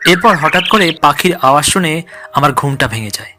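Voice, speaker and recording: A man with a fairly high voice narrates a scripted horror tale as a first-person storyteller. His delivery is dramatic, and music plays clearly behind him. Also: expressive, moderate pace.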